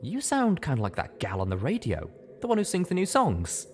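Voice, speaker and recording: A man's voice: on the high side, wildly swooping pitch, fairly quick, a little mellow, a little hiss or hum, pitched above the performer's own voice. A man voicing an eager young male pony, a starstruck would-be hero in a story reading. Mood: eager, awed.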